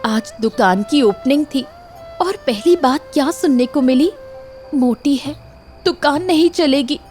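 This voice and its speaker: A woman's voice: sits high, unhurried, darkish tone, soft background music. A woman voicing a sincere, hopeful young village wife in a cartoon moral story, with earnest emotion. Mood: hurt.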